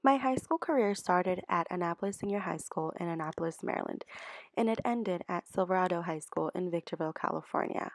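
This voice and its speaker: Young woman narrator, earnest scripted read, slight creak, dry close sound. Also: fairly low pitch, brisk, dry recording. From El Salvador. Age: 20s. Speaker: student teacher. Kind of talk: scripted narration for a class video.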